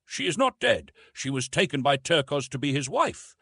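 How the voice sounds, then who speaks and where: Proud young ape-raised jungle hero played by a male narrator, solemn storybook delivery, big pitch swings, slightly husky. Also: big pitch swings, normal speed, pushed and bright, dry recording, clean studio sound, heavily acted. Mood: proud, fierce.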